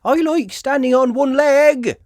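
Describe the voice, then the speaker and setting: A woman voicing an excited, boastful little animal child in a children's storybook, exclaiming and drawing out words. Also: high-pitched, unhurried, no echo, studio quality, pitched above the performer's own voice. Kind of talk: children's storybook character voices.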